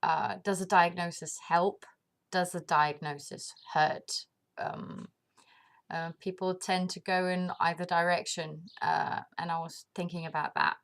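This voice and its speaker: Woman from denmark, unhurried and reflective, lowish voice, casual vlog talk. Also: little pitch movement. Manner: thoughtful, pauses often with ums, laughs at herself. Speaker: vlogger. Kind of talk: casual reflective monologue to camera.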